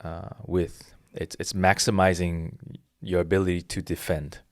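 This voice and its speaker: A man's voice: low-pitched, level tone, measured pace, dry recording, studio quality. A man in his thirties, a jiu-jitsu instructor, explaining his philosophy earnestly in a casual interview with frequent restarts. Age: 30s.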